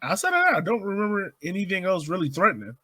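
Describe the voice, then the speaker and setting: A man's voice: high voice, animated, quick pace, pushed and bright, slight background noise. A man co-hosting a podcast, chatting casually through soccer analysis. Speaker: podcast co-host.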